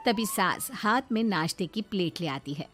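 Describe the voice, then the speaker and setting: A woman narrating a family story in an expressive, dramatized storytelling style. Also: mid-range voice, normal speed, music in the background.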